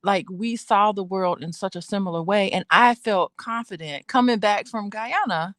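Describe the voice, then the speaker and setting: A woman co-hosting a casual chat, opinionated and expressive, laughing often as she talks. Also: mid-range voice, moderate pace, dry recording, studio quality. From United States. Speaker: co-host.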